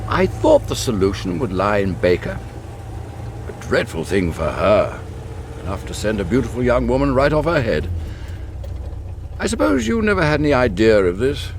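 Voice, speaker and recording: A gentlemanly, avuncular English colonel in a radio play. His talk is clipped and discreet, with very lively pitch and faint traffic noise behind. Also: normal speed. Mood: brisk, sombre. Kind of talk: British radio drama acting.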